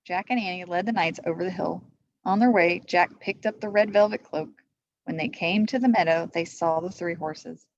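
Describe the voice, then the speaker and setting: A woman's voice: on the low side, normal speed, dry recording. A woman reading a children's storybook aloud as a teacher would, in a slightly muffled recording. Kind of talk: storybook read-aloud narration.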